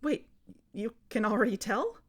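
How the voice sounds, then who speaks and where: An earnest investigator, close and dry. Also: female voice, on the high side, sing-song, normal speed, no room sound. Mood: puzzled. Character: earnest, questioning woman investigator. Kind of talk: naturalistic audio drama dialogue.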